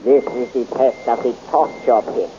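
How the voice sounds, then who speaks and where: A man's voice: mid-range voice, steady tone, moderate pace, lightly acted. An actor playing a cruel, haughty male tyrant emperor in a 1930s radio serial, commanding and menacing, on a muffled old recording with hiss.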